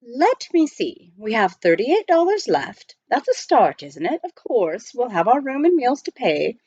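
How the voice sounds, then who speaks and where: A woman's voice: fairly high pitch, big pitch swings, unhurried, a little mellow, slightly rough, no echo, highs cut off, heavily acted. A woman voicing a proper, unflappable male English butler with formal, precise, reassuring speech in a storybook. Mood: composed, optimistic.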